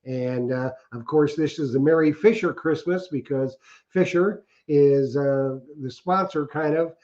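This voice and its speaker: A man co-hosts a casual chat show, rambling along in a folksy way. His voice sits a bit high and comes through dull and lo-fi. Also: unhurried, warm tone. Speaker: co-host.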